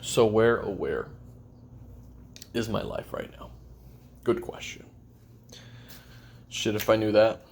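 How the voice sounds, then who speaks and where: A man playing a weary, lonely, bitter assassin recording a halting, confessional audio-drama memoir in a gravelly voice. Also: lowish voice, big pitch swings, darkish tone, a little hiss or hum, exaggerated voice. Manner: halting, brooding, self-deprecating, trailing off. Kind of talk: confessional audio roleplay monologue.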